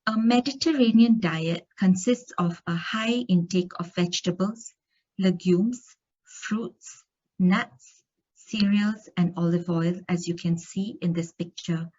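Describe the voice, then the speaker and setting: A woman's voice: fairly low pitch, slow, a little mellow, breathy edge, highs cut off. A woman from Singapore giving an academic conference lecture, reading steadily through study findings with occasional fillers and restarts. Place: conference room.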